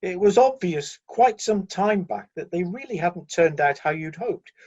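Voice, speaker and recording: A candid, deferential aide in heaven played by a man speaks in a radio play with a husky voice. The intonation is lively and varied, yet the manner stays hesitant and matter-of-fact, on a slightly dull recording. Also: high-pitched, a little slow, full character voice. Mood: matter-of-fact, apologetic.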